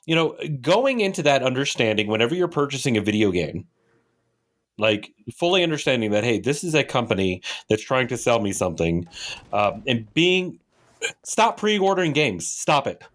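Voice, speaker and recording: A man's voice: mid-range voice, very animated, moderate pace, fairly bright tone. A man co-hosting a podcast in casual chat, opinionated and emphatic, often repeating points for stress. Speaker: podcast co-host.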